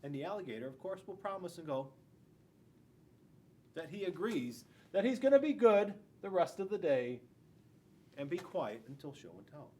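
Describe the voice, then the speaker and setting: American male storybook reader, forties, high register, very expressive, asks the kids questions. Noisy classroom recording. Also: normal speed, pauses often. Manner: animated, dramatic, asking the children questions. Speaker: school resource police officer. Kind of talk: reading a children's picture book aloud. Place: school classroom, indoors. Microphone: camera a few feet away. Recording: noticeable room noise with low rumble.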